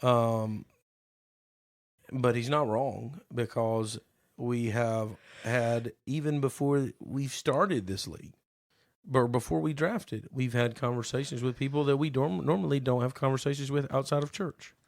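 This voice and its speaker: A man chats on a podcast in a gravelly, slightly hoarse voice. He teases and jokes and reads aloud in a drawn-out way. The recording is dry and close. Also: mid-range voice, no room sound. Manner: playful, teasing, drawn-out reading aloud. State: slightly hoarse from being sick. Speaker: podcast co-host. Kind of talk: casual chat.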